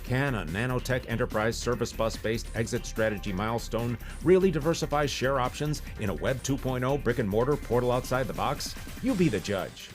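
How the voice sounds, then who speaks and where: A man's voice: medium pitch, a little fast, few pauses, faint music behind, natural voice. An actor playing a slick corporate huckster in a parody commercial, with fluent salesman patter. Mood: slick, eager. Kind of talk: mock advertisement pitch.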